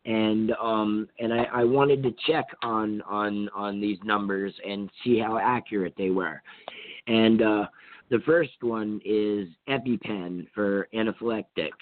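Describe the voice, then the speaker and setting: A hoarse man with a cold from Vermont, hosting a call-in radio talk show in a rambling, casual way with many fillers and restarts. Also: lowish voice, steady tone, a little slow, no room sound. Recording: tinny phone-line sound.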